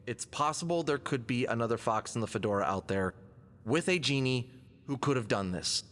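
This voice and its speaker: A man's voice: mid-range voice, expressive, moderate pace, a bit bright, lightly acted. A man playing a confident, wisecracking fox adventurer in an audio drama, quippy and self-assured in his delivery. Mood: frustrated, doubtful. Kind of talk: scripted audio drama dialogue. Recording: long hall-like reverb.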